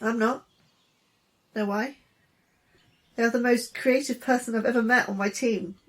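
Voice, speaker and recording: A woman's voice: on the high side, fairly even intonation, a little slow, close to an everyday voice. A woman playing an anxious but encouraging scientist, informal and wisecracking, in a sci-fi horror audio drama. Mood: rallying. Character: anxious, encouraging female scientist, plucky and self-deprecating.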